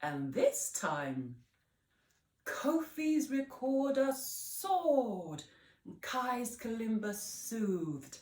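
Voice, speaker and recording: Very slow, animated woman storyteller. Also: a little high. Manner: theatrical, deliberate word emphasis. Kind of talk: expressive children's read-aloud narration. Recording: roomy echo, distant microphone.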